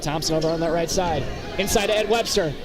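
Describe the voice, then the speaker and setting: Male play-by-play announcer, high voice, clipped live basketball calls, very loud gym crowd. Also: fast. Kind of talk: live basketball radio commentary. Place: indoor basketball gym, up behind a balcony. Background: crowd, bouncing basketballs.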